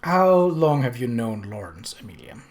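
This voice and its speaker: Gentlewoman, acted out by a narrator: measured, firm, quietly probing, for period mystery fiction. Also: medium pitch, big pitch swings, natural voice. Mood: probing, softened. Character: firm, probing young gentlewoman questioning her maid.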